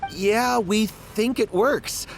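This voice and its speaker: A mild, earnest writer character from a video game. His voice sits fairly high for a man, with faint noise behind it. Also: slow pace, gravelly, full character voice, rough recording. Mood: agreeable, earnest.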